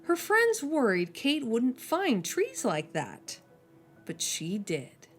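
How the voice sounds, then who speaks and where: A woman reading a children's picture book aloud as a storyteller, slowing down and dropping soft and low on each refrain. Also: wildly swooping pitch, faint music behind.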